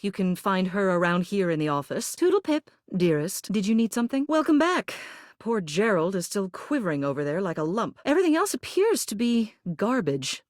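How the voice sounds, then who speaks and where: A woman's voice: mid-range voice, big pitch swings, moderate pace, no echo, studio quality, lightly acted. A woman playing a pompous, meddling neighborhood mayor in a video game, genteel and theatrical in her manner. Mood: bossy, brisk. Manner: theatrical, pompous, genteel, addressing people as darling and dearest. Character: haughty, meddling neighborhood mayor, a living household object, grande dame. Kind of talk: video game character dialogue.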